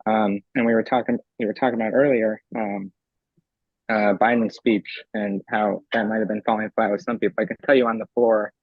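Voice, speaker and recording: A young man from Eastern Pennsylvania, a convention delegate whose voice is raspy from screaming, answering eagerly with many ums in a remote interview. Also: fairly low pitch, level tone, a little fast, no room sound, lo-fi sound. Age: young adult. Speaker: convention delegate and law student.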